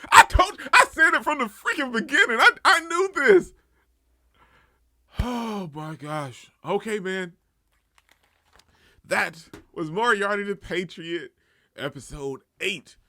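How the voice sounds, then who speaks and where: Man, higher-pitched voice, emphatic and repetitive, casual reaction host, dry close sound. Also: slow pace, pauses often, no room sound. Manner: excitable, emphatic, repeats himself. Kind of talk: casual anime reaction and review.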